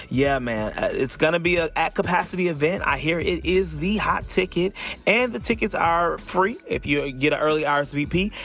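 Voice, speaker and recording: A man's voice: a little high, fairly bright tone. A man hosting a podcast in a casual, upbeat way, playful and folksy as he talks straight to listeners and repeats phrases for emphasis. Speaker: podcast host.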